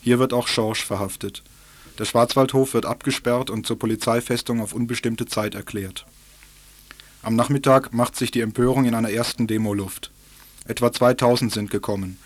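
A man narrating a radio documentary on past events in a steady, even reading. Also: medium pitch, moderate pace, dry recording.

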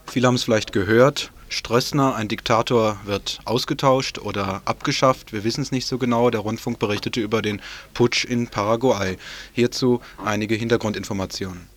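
A man's voice: lowish voice, moderate pace. A man presenting a community radio news show in a loose, conversational way. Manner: relaxed, loosely worded, conversational. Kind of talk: informal radio news moderation.

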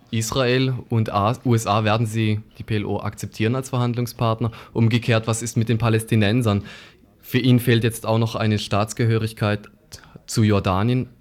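Guy on local radio, lowish voice, chatting thru the news kinda hesitant and unscripted. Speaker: radio presenter.

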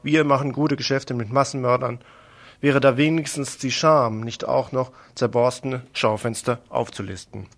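A man's voice: medium pitch, steady tone, moderate pace, a little hiss or hum. A man presenting political radio news and commentary in an earnest, pointed way, stumbling over a word now and then.

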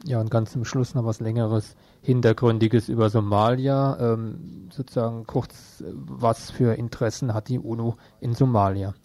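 A man presents radio news. His voice has a medium male pitch and a dry sound with almost no room around it. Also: steady tone, moderate pace, few pauses, dark tone, no room sound.

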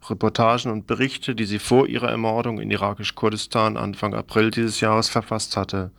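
A man's voice: fairly low pitch, creaky, fairly bright tone, no room sound. A man presenting a scripted radio news report in a formal manner, now and then stumbling over the text.

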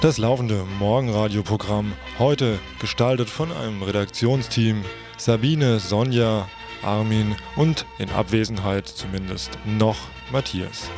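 A man's voice: lowish voice, measured pace. A man hosting a morning radio show, announcing the programme and its credits. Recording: voice over loud music. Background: loud instrumental music.

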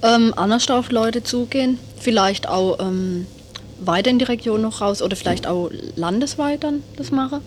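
A woman's voice: on the high side, moderate pace. A woman giving a spontaneous interview answer as an activist, hesitant and searching for her words. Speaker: citizens' initiative activist, interviewee. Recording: noisy interview with background ambience.

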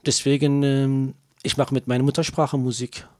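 A Kurdish singer whose first language is Kurdish, speaking hesitant, broken German with many fillers while being interviewed. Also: male voice, mid-range voice, flat delivery, darkish tone, no room sound, clean studio sound. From Kurdish region of Turkey. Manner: halting non-native German with many fillers. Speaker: singer, guest. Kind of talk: interview answers.

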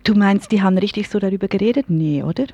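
A woman's voice: medium pitch, quick pace, warm tone, slight background noise. A woman talks casually and conversationally as a radio interviewer. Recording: dull lo-fi local radio recording.

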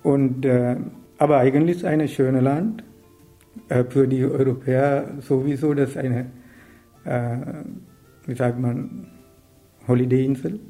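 A man's voice: medium pitch, steady tone, slow, long pauses, dark tone, soft background music. A middle-aged man from Sri Lanka with a Sri Lankan accent, a writer and activist speaking hesitantly with many fillers in a radio interview. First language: Sinhala.

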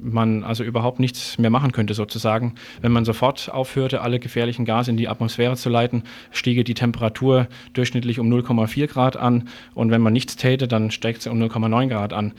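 A man's voice: medium pitch, little pitch movement, fairly quick, darkish tone. A man presenting a radio programme, introducing items in a loose, conversational way.

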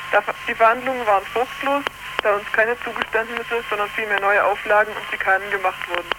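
A woman's voice: medium pitch, steady tone, fast talker, background noise. A woman reading out a prepared statement as an activist over a poor long-distance telephone line, stumbling over words here and there. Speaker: activist delegation member.

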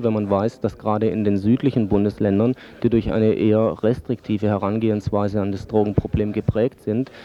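A low-voiced man speaks as an expert guest on radio, explaining things in formal, measured wording. The recording is dull and lo-fi. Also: warm tone. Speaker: drug-aid expert guest. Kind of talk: explanatory radio interview answers. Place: radio interview.

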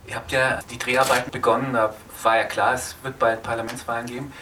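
A man with a bright, mid-pitched voice moderates a live film discussion. He feels his way through his questions. He sounds away from the microphone, with faint noise around him. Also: level tone, fast talker. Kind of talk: spontaneous interview questions. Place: film festival screening venue. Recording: distant, noisy live event recording.

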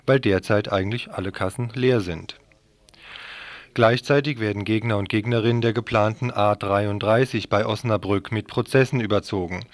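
A man's voice: fairly low pitch, dry recording. A man reading a scripted news report for radio.

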